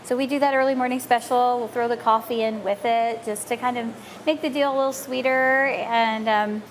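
A middle-aged American woman with a fairly high voice talks in a casual, chatty way. She is animated, explaining things as an interview guest. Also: moderate pace, slight background noise. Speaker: cafe co-owner, interview guest. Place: small cafe, indoors.

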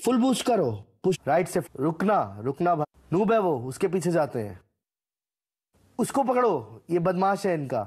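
Husky male gamer for short, punchy voice-pack catchphrases, pitched fairly high and very animated. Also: unhurried. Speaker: gaming creator voicing a game voice pack. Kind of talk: short scripted gaming callouts and catchphrases.

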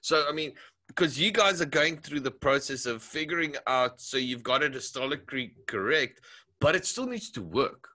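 A man's voice: medium pitch, lively intonation, moderate pace, sharp tone. A man, hosting a casual interview, talking eagerly in rambling sentences and laughing often. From South Africa. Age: 40s. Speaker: podcast host.

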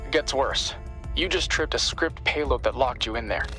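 An actor playing an earnest, caring young tech helper in a video game, eager and explanatory. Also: male voice, mid-range voice, normal speed, sharp tone, soft background music, natural voice. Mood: helpful, focused. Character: earnest, worried young male tech-savvy sidekick. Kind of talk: cinematic game dialogue.

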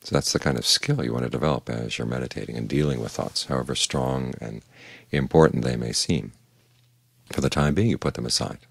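An older man gives an evening meditation talk with calm, measured patience. His voice sits extremely low, with a gravelly, husky grain. The sound is close and dry, with no room around it. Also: dry recording. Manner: calm, measured, plain-spoken teaching. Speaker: Buddhist monk giving a Dhamma talk.

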